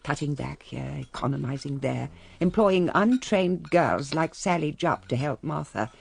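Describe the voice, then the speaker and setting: A woman's voice: deep voice, lively intonation, unhurried, vocal fry, a little mellow, breathy edge, heavily acted. An actress playing a genteel, reflective older English lady of a declining manor, narrating a radio drama in a refined, wry manner. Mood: matter-of-fact. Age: middle-aged or older.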